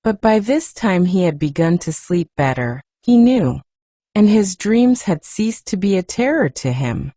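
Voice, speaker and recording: A woman narrating an audiobook as a soothing bedtime story, in a soft, measured, hushed manner with lingering pauses. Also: medium pitch, very animated, no room sound, clean studio sound.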